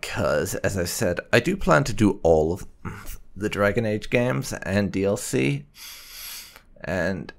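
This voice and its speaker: A man's voice: lowish voice, expressive, slow pace, vocal fry. A man hosting a let's-play, giving casual, musing commentary as he plays. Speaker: gaming channel host.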